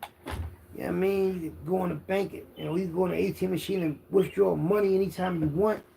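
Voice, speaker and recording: Boastful, gravelly man in his fifties. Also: sits high, steady tone, fast talker, mellow, poor audio. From Philadelphia, United States. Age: 50s. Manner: self-assured, boastful slang-heavy talk. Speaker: internet content creator. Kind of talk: casual rambling street monologue. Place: outdoors, birds chirping behind. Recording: outdoor background noise with birdsong.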